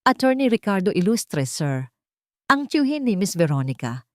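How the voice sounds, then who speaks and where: A woman's voice: mid-range voice, sing-song, normal speed, a little mellow, no room sound, studio quality, natural voice. A woman with a Filipino accent voicing a deferential investigator giving a grave report in an audio story. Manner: respectful, serious.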